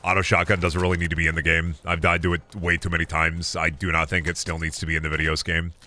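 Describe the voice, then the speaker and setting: Low-voiced sick man streaming a game. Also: completely flat delivery, quick pace, sharp tone. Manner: rambling, self-deprecating, swears at the game. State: sick, voice close to cracking. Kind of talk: casual gameplay stream chat. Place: home room at a desk. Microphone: headset.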